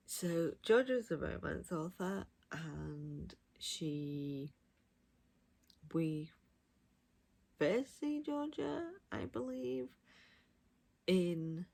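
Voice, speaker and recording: Husky, low-voiced woman chatting enthusiastically. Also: a little mellow. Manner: gushing, emphatic enthusiasm. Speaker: audiobook reviewer. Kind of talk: casual, chatty book review.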